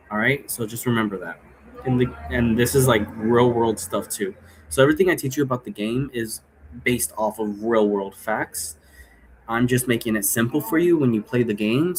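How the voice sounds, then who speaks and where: Casual rambling man, noisy background. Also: medium pitch. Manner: casual, digressive, frequent rhetorical questions. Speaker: role-play game creator and presenter. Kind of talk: informal explainer, rambling and improvised.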